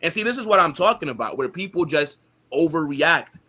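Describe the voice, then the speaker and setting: A man's voice: on the high side, animated, fairly quick, bright, forceful tone. A man in casual, opinionated football chat, hosting with slangy banter and emphatic rhetorical questions. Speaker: football show host and streamer.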